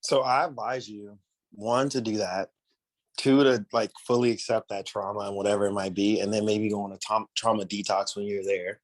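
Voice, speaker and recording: A man hosting a casual podcast interview, talking in a relaxed way with casual slang. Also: fairly low pitch, normal speed, poor audio. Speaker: podcast host.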